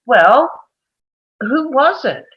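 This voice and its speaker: A woman's voice: high-pitched, very animated, drawn-out words, heavily acted. Juror role, female actor: insistent, certain, swinging intonation, slightly lo-fi sound. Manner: insistent, emphatic. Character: forceful, opinionated juror sure of guilt. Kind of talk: dramatic table read of a stage drama.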